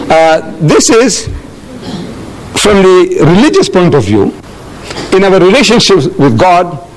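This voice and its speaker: Older male lecturer from South Africa, animated storyteller with a bright, swooping voice. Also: on the high side, low-quality recording. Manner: animated, storytelling with acted-out dialogue. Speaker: Islamic lecturer answering audience questions. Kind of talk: lecture Q&A with audience.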